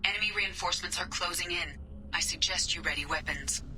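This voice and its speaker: A woman voicing a calm, polite starship artificial intelligence in a video game, speaking in a measured, precise, formal way. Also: lowish voice, noisy background, natural voice. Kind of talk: video game dialogue acting.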